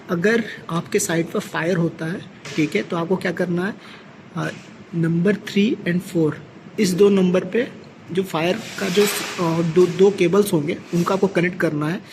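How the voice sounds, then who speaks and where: A man with an Indian accent giving a hands-on, step-by-step equipment tutorial as a technician, often adding a short check-in tag. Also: high voice. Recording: clearly noisy amateur recording with ambient sound.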